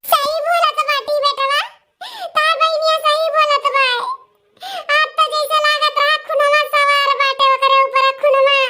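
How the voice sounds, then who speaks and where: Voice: very high voice, little pitch movement, a little slow, bright, forceful tone, heavily acted. A performer voicing a scheming, whining elderly village mother-in-law in an Awadhi comedy cartoon, with a wheedling, complaining manner and an Awadhi accent. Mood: wailing, complaining. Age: older.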